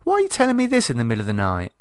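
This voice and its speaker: A man voicing a sharp-tongued wife, talking in a blunt, quick, scolding way. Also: medium pitch, wildly swooping pitch, no room sound, studio quality.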